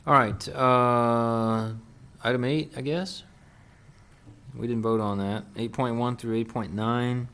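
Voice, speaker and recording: A man serving as mayor, running a council meeting in a brisk, businesslike way. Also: medium pitch, fairly even intonation, fairly bright tone, clean tone. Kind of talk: formal meeting procedure.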